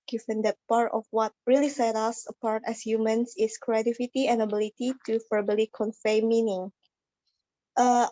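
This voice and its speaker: A woman with an Indonesian accent gives an earnest class speech in a slightly high, mellow voice. The phone call audio is dry and dull. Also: no room sound. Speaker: student speaker. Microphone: phone on a video call.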